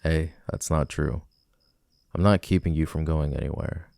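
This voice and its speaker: Very deep, gravelly flirtatious villain played by a man. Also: normal speed, a little mellow, dry recording, studio quality. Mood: defensive, pleading. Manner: teasing, smooth-talking, intimate. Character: flirtatious rejected male villain wooing a civilian, smug, possessive. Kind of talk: ASMR romantic roleplay.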